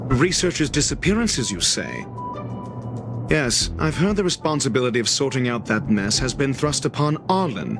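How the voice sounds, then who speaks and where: Pompous, sardonic scholar with animated delivery. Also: male voice, medium pitch. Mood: skeptical. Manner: theatrical, sardonic, articulate. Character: pompous, probing male scholar conducting an interrogation. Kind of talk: video game voice acting.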